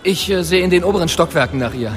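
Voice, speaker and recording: Lively police sidekick. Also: male voice, high voice, fast talker, over music. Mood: alert. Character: policeman, the inspector's alert, deferential sidekick. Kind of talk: radio drama acting.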